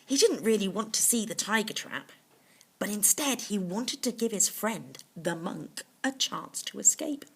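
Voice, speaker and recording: A woman reading a bedtime story to children, pausing to explain things simply in little asides. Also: mid-range voice, expressive, a bit bright, husky. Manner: explains words simply to young listeners.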